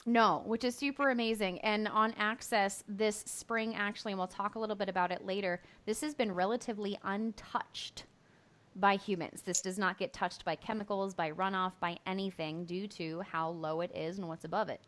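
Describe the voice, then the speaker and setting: A woman hosts a podcast interview in a mid-pitched, everyday voice. She sounds upbeat and affirming, cheering her guest's answers along. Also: fairly even intonation, normal speed, a bit bright. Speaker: podcast host. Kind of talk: casual interview conversation.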